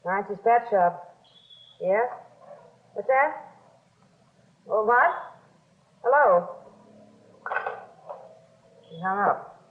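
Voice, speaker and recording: An actress playing a sick, bitter, defensive shopkeeper's wife in a 1950s radio drama, snappish and weary. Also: female voice, mid-range voice, expressive, extremely slow, pauses often. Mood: frightened. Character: sick, bitter, defensive wife of a jailed pet shop owner. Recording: muffled, tinny old radio broadcast recording.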